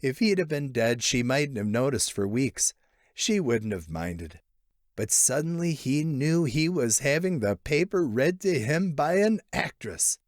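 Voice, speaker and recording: Male audiobook narrator, animated pitch, dramatic phrasing, close dry sound for literary fiction. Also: dry recording. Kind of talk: expressive scripted narration of a literary short story.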